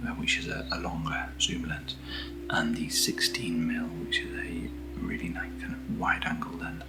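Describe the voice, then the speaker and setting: A British man speaking softly and breathily in casual ASMR style, unhurried and gently rambling as he hosts. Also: extremely low pitch, monotone. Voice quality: breathy, whispery. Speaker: host of ASMR unboxing videos. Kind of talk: soft-spoken ASMR, casual chat. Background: underlying music.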